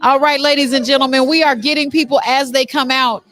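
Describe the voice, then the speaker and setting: High female voice, show host, on-location interviewing, effusive, encouraging. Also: fairly even intonation, brisk, a bit bright, a faint crowd.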